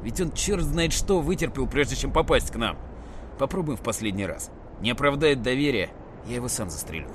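A man's voice: mid-range voice, expressive, brisk, slight background noise, lightly acted. An actor playing a blunt, plainspoken frontier man in a radio play. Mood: stern.

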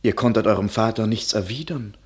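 A man's voice: on the low side, brisk, breathy edge. An actor playing a loyal, earnest companion who pleads with and consoles a young warrior woman, in a classic verse radio drama. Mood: pleading.

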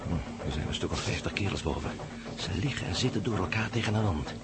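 An actor in a Dutch radio play playing a tough, impulsive adventure hero with a gruff, sardonic, urgent manner. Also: male voice, sits low, lively intonation, quick pace. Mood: tense reporting.

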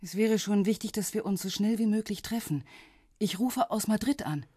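Radio crime drama policewoman, younger, dark soft-edged voice on a phone call, composed and insistent. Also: medium pitch, moderate pace, slightly rough, no room sound, clean studio sound. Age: young adult. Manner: businesslike, persistent, polite. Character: composed, insistent younger female Danish intelligence police officer.

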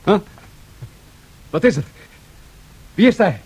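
Alarmed businessman, very lively pitch. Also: takes it slowly, slightly rough, exaggerated voice. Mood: startled, alarmed. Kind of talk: 1970s Dutch radio drama acting.

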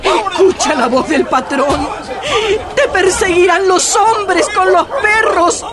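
A woman's voice: extremely high pitch, slow, a loud crowd. An actress playing a fearful peasant mother in a radio melodrama, pleading tearfully. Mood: desperate, urgent. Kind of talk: melodramatic radio drama acting.

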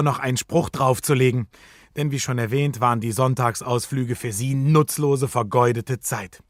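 An actor narrating a children's radio play as a knowing, expressive storyteller. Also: male voice, medium pitch, dry recording, studio quality.

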